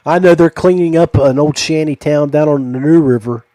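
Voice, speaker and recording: A man's voice: a little high, moderate pace, mellow, no room sound. An American man hosting a podcast in a laid-back, conversational way. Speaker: podcast host. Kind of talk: casual chat.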